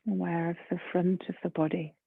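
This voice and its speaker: A woman leading a guided meditation in a gentle, soft, breathy voice, unhurried, with long pauses between phrases. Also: lowish voice, little pitch movement, no echo. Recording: muffled phone-like lo-fi sound.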